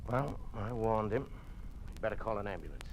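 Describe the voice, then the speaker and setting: An actor playing a brisk, blunt doctor in an old-time radio drama, speaking with authority. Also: male voice, fairly low pitch, animated, heavy background noise, natural voice. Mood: exasperated. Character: brisk, blunt bachelor doctor and loyal friend.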